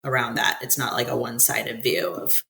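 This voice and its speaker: American woman, podcast guest, very deep voice, bright tone, laughs often, restarts sentences. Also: moderate pace, vocal fry, a little hiss or hum. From United States. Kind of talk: conversational interview.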